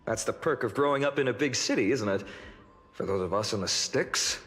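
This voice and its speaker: A man's voice: mid-range voice, expressive, a little fast, a bit bright, soft background music, close to an everyday voice. A man playing a genial, talkative, teasing young scholar-adventurer in a video game, with a theatrical conversational manner. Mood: reflective. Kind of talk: video game character acting. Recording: studio voice with long reverb.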